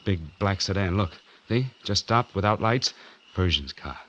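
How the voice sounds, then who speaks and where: An actor playing a tense young man on the run in a 1940s radio noir drama, with urgent, halting delivery. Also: deep voice, lively intonation, pushed and bright. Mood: alarmed. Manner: urgent, halting under stress. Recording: dull, hissy vintage radio recording.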